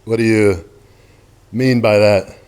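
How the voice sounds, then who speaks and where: A brooding painter in a stage drama, gruff and crude. His voice is fairly deep. He talks in blunt, terse bursts with a sardonic edge. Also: steady tone, takes it slowly, a little mellow. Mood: crude, provoking. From United States (the figure, Wyoming). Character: Jackson Pollock, gruff, crude, brooding American male painter. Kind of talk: theatrical staged reading.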